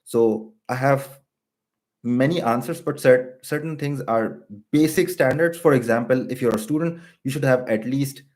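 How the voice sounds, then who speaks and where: A man's voice: medium pitch, normal speed. A man in his thirties from Pakistan, explaining personal finance to viewers on a live stream, with frequent ums and uhs. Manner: hesitant, many ums and uhs, steady and explanatory.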